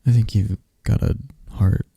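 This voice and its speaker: A man voicing a shy, affectionate best friend in an ASMR roleplay, speaking softly and stammering with nerves. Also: fairly low pitch, fairly even intonation, measured pace, creaky, husky, no echo, heavily acted. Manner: soft-spoken, stammering, gentle.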